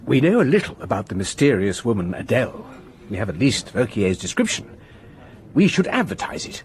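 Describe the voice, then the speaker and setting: An actor playing a methodical gentleman who lays out the facts of a case in a measured, lecturing way. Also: lowish voice, big pitch swings. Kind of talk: radio drama acting.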